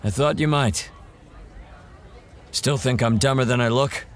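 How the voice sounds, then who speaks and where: A man's voice: medium pitch, unhurried, lightly acted. An actor playing a hardened male monster hunter in an audio drama, blunt and earnest. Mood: confident.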